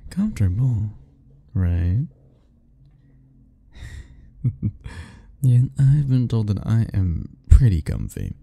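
A man's voice: on the low side, vocal fry, mellow. A young man speaking a playful, teasing ASMR roleplay straight to the listener, laughing often between lines.

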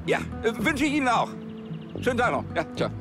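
Pompous bossy police boss, high voice, swooping intonation, TV comedy, loud music. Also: male voice, huge pitch swings, brisk, creaky, heavily acted. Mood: self-satisfied. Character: self-important, fussy male police chief. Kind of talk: TV comedy acting.

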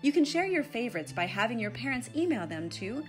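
A woman's voice: fairly high pitch, very animated, brisk. A woman hosting a family audio drama, talking directly to children in a friendly, encouraging way. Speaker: host. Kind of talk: warm scripted outro for children. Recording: voice over background music.

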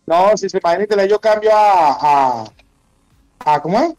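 A man's voice: high-pitched, animated, normal speed, darkish tone, soft background music, low-quality recording. A man with a Venezuelan accent chatting casually while gaming, giving slangy, repetitive instructions in a bossy way. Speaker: gamer livestreamer. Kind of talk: casual chat while playing an online game.

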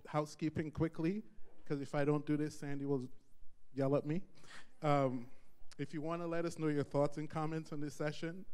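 Man casually introducing a speaker. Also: fairly high pitch. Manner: relaxed, chatty introduction with some reading aloud. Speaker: event host introducing the speaker. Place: large bright conference room. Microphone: handheld mic.

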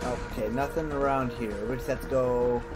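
A man's voice: medium pitch, steady tone, normal speed, over loud music, poor audio. A man giving casual, informal gameplay commentary as a let's play host, thinking aloud and reacting as he goes.

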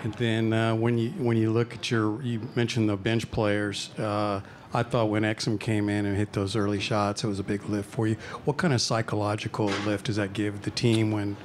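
Low, level-voiced male reporter. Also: little pitch movement, normal speed, hardly any pauses, slight background noise. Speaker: newspaper reporter. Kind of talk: press conference question.

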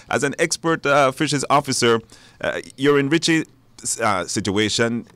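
A man hosting a live radio phone-in, speaking haltingly and repeating words. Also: mid-range voice, lively intonation, fairly bright tone, dry recording. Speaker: radio talk show host. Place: radio studio.

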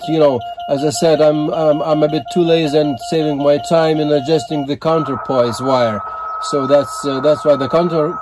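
An older man giving a casual hands-on demonstration, speaking haltingly and repeating words as he goes. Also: fairly high pitch, background noise. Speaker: amateur radio operator presenting a field test.

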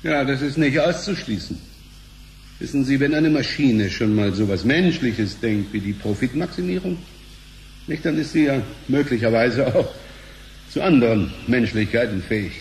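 Man playing a pompous, mid-pitched pedant. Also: animated, moderate pace, a little hiss or hum, lightly acted. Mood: grave. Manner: pompous, wordy, formal. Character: pedantic, stilted male business partner, fussily precise. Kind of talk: German radio play acting.

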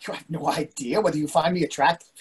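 A man's voice: on the high side, steady tone, fast talker, a little hiss or hum, natural voice. An older man playing an anxious, earnest husband and father in a play reading, needling and conversational over a video call. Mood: insecure, joking. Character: anxious, fretful middle-aged husband and father. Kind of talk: readers theatre play reading.

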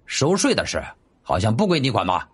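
Guy putting on a grumbly blunt uncle in an audiobook, middle aged, low and husky. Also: huge pitch swings, fairly quick, heavily acted. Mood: grumbling, hostile.

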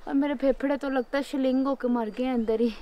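A woman's voice: high voice, steady tone, a little fast, dark tone, muffled recording. A young woman, chatting upbeat to a handheld camera while hiking outdoors on a forest trail, sometimes out of breath. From Pakistan. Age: young adult. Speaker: travel vlogger. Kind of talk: casual travel vlog narration to camera. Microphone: handheld camera held close.

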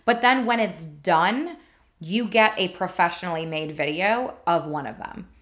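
A woman's voice: on the low side, unhurried, fairly bright tone. A woman, around her thirties, coaching conversationally and emphatically as a podcast host, speaking into a studio microphone. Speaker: podcast host, marketing advisor. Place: small indoor podcast studio. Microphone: studio mic on boom arm.

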